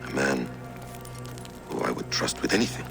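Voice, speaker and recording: A deep, gravelly, brooding assassin. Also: male voice, lively intonation, heavily acted. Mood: grieving, bitter. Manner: measured, deliberate, brooding. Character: grave, grieving Hidden One assassin, a bereaved father. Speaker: video game character. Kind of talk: cinematic video game dialogue acting. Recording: music behind the voice. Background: game music.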